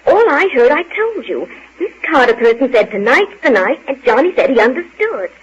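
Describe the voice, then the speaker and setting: Anxious insistent wife, high husky voice, urgent delivery, vintage radio drama. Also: female voice, big pitch swings, moderate pace, heavily acted. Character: anxious determined wife bent on saving her husband. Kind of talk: old-time radio drama acting. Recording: muffled old radio recording.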